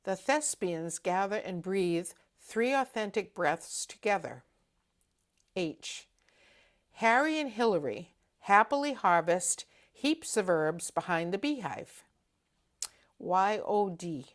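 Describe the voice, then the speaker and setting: A woman in her seventies with a New England accent, French her first language, answering interview questions in an unhurried, thoughtful way with pauses. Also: medium pitch, sing-song. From New England, USA. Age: 70s. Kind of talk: reading aloud then answering interview questions.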